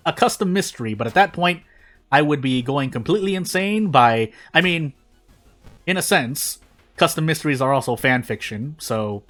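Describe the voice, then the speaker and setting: A man's voice: on the high side, fairly bright tone. A man hosting a gaming channel, giving casual, rambling gameplay commentary with self-deprecating asides.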